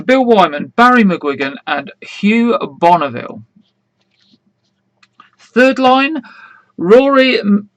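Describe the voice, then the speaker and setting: A man hosting an online quiz in a casual, rambling way, full of fillers, with a slightly croaky voice. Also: high-pitched, fairly bright tone, no echo, poor audio. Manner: chatty, rambling, many fillers and restarts. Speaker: quiz host.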